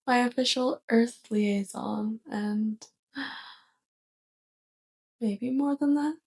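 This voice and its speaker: A woman playing a sweet, curious, awkward alien roommate with a crush, speaking gently and haltingly in a soft ASMR roleplay. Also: a little high, vocal fry, husky, heavily acted. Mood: tentative, affectionate. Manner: gentle, hesitant, halting delivery.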